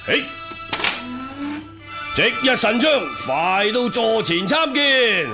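Solemn Taoist exorcist, swooping theatrical delivery. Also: male voice, sits high, heavily acted. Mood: commanding, chanting. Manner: incantatory, archaic commanding delivery. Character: solemn, imperious male Taoist exorcist priest. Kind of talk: Cantonese radio drama acting.

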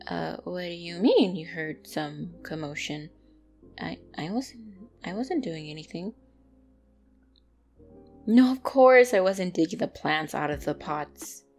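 A woman's voice: on the low side, lively intonation, slow pace, vocal fry, pauses often, a little mellow, faint music behind, full character voice. A woman playing a bratty, easily flustered young tsundere catgirl in an ASMR roleplay, speaking indignantly and defensively to the listener.

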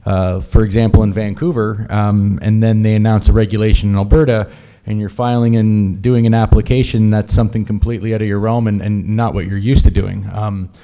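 A man with a low, warm voice speaks on a business panel at a conference table. He explains plainly and earnestly, with frequent uhs. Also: flat delivery, fairly quick. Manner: plain, earnest, filler-laden. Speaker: company founder panelist. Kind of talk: panel discussion. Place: conference stage.

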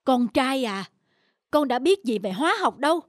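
Cartoon mother: high, emphatic, disapproving and proud. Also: expressive, moderate pace, no room sound, studio quality. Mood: disapproving, stern. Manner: scolding, emphatic. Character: stern middle-aged mother, proud and disapproving. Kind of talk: animated cartoon dubbing.

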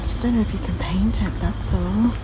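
Woman, mid-pitched voice, casual vlogger chat, murmured asides, reading labels aloud, very noisy recording. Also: moderate pace. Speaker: reseller vlogger. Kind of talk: casual vlog chat while picking through finds. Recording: loud handling and background noise.

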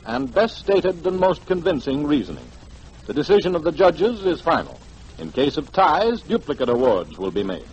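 A man announcing formally and emphatically in the style of 1940s radio promotion, with a husky voice. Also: a little high. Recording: dull, lo-fi 1940s radio broadcast.

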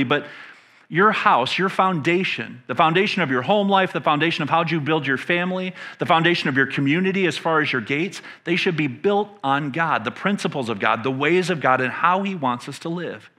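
Middle-aged American man, higher-pitched forceful voice, preaching conversationally from a stage, emphatic with rhetorical questions. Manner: emphatic, pauses to pose questions to listeners. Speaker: pastor. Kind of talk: conversational sermon teaching. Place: indoor stage in a church room.

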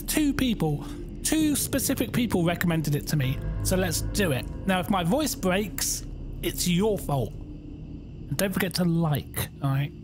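A young man with a croaky voice, chatting and joking through a horror game as a gaming YouTuber. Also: high voice. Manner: chatty, jokey, reacting aloud. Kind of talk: casual let's-play commentary.

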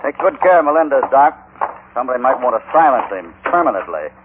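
An actor playing a commanding, calm, shrewd American cowboy hero in an old radio Western, speaking firmly. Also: male voice, mid-range voice, fast, close to an everyday voice. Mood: calm, probing. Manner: firm, decisive. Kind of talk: 1950s radio Western acting. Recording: tinny, noisy old radio recording.

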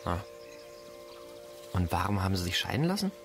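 A reflective, unsure young man in a German radio drama. His voice is on the low side and he talks in halting, tentative phrases. Music and birdsong are heard behind him. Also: very animated, vocal fry, exaggerated voice. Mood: quietly reflective. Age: young adult. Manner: halting, tentative, puzzled questioning. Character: hesitant, questioning young man, naive and reflective. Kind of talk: naturalistic radio play acting.

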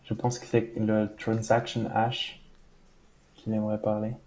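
Low gravelly man explaining a wallet demo. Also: monotone, unhurried, a little mellow, poor audio. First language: French. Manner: patient, explanatory, with frequent "voilà". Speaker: crypto educator demonstrating a wallet. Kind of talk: screen-share walkthrough in a live interview. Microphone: webcam video call.

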